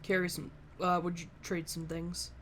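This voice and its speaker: A woman giving casual let's play commentary on a game, low-key and musing aloud. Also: level tone, moderate pace, slight background noise. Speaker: gamer narrating a playthrough. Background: faint game music.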